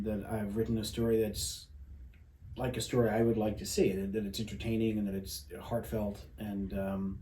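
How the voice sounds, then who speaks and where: A man's voice: fairly low pitch, mellow. A man, a playwright, talking reflectively in a modest, hesitant way in an echoey room with faint noise. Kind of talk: casual reflective conversation.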